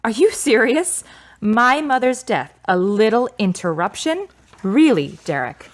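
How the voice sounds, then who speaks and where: A woman's voice: a little high, big pitch swings, a little slow. A woman playing a grieving, frank young woman in a radio drama, with dramatic, earnest phrasing. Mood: offended.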